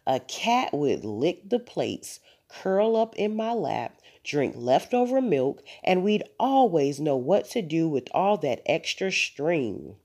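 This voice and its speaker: A woman's voice: sits low, sing-song, slow pace, hardly any pauses, a little mellow, exaggerated voice. A woman voicing an eager, scheming little girl who coaxes persuasively in a children's storybook. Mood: eager, coaxing. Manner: coaxing, listing persuasively.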